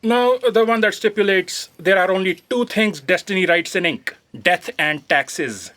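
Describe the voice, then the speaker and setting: Woman as a timid, slow-spoken, lilting office aide. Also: mid-range voice, animated, a bit bright. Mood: nervous explaining. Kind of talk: comic audio drama acting.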